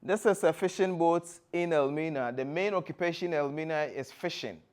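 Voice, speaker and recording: A man from Ghana with a Ghanaian accent, giving a presentation in a deliberate, explanatory way, phrase by phrase. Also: on the high side, darkish tone. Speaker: guest speaker, former tour guide. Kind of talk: presentation to an audience with slides.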